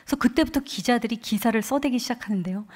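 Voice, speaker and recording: A woman's voice: a little high, quick pace. A woman in her forties giving a heartfelt testimony as a guest speaker at a church revival, telling her story with earnest conviction into a microphone. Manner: earnest storytelling, quoting others with lively emotion. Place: church sanctuary.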